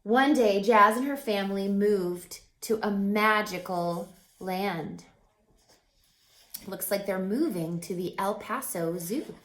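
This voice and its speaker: A woman reading a children's picture book aloud as a teacher, expressively, pausing to put questions to young listeners. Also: medium pitch, a bit bright, reverberant, rough recording.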